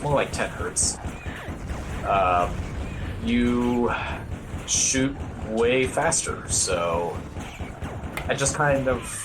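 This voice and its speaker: A man giving casual live speedrun commentary, explaining game mechanics in a hesitant, rambling way. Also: lowish voice. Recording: game music behind the voice. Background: video game music.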